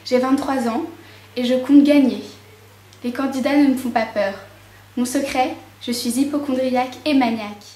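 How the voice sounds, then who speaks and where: A woman's voice: high voice, fairly even intonation, normal speed, dark tone, a little hiss or hum, natural voice. A woman playing a confident, obsessive twenty-three-year-old contestant in a reality show parody. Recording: echoey room, faint music.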